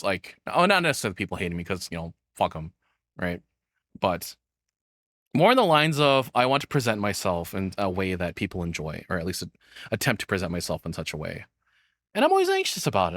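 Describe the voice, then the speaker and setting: Male streamer, low dry voice, loose rambling reaction chat with casual cursing. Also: a bit bright, dry recording. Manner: rambling, self-deprecating, swears casually.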